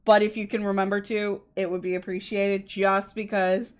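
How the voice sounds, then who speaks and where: A woman's voice: medium pitch, flat delivery, moderate pace. A woman livestreamer chatting casually with her co-host and viewers, explaining things politely. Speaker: game livestreamer. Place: indoor streaming setup at a computer.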